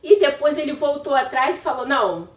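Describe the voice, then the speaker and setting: A woman's voice: sits high, expressive, brisk. A woman from Brazil telling her story casually to camera, often laughing and explaining things for her husband. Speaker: vlogger.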